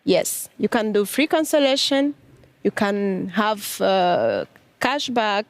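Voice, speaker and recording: A woman speaking English as a second language as a guest on a panel, halting and plain-spoken. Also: mid-range voice, very animated, no room sound. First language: not English. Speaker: customer guest. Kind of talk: panel interview answer.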